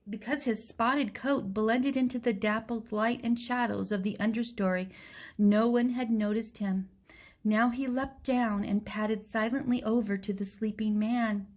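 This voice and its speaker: A woman teacher reading a storybook aloud to young children in a deliberate, direct way. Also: mid-range voice.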